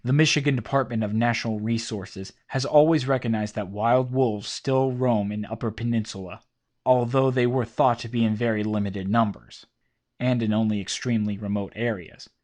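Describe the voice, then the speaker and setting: A man giving measured, documentary-style scripted narration between witness accounts. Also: medium pitch, fairly even intonation, darkish tone.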